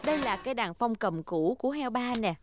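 A woman voicing a gentle, encouraging mother pig in a children's cartoon dub, with a soothing manner. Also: on the high side, animated, fairly quick, noisy background, natural voice.

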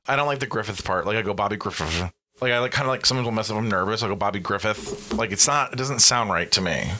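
A man's voice: fairly low pitch, fast talker, pushed and bright. A man co-hosting a casual comedy podcast, chatting in a crude, dramatic way. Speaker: podcast co-host. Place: small home podcast studio. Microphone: close boom microphone with headset.

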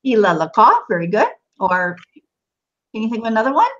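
Higher-voiced woman teacher, dull call audio. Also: big pitch swings, brisk, a bit bright. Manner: encouraging, short prompts and confirmations. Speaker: Arabic teacher. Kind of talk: one-to-one online language lesson. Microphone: online call.

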